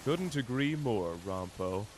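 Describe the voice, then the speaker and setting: A man's voice: medium pitch, animated, takes it slowly, background noise. A man playing a dutiful, exasperated male detective in a scripted anime audio roleplay, dry and matter-of-fact.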